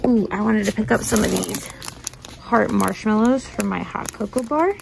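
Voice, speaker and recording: A woman's voice: medium pitch, moderate pace, gravelly. A woman in her thirties filming herself in a shop and chatting casually about the cute items she finds. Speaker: vlog host. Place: inside a shop. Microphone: handheld phone camera. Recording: noisy shop background.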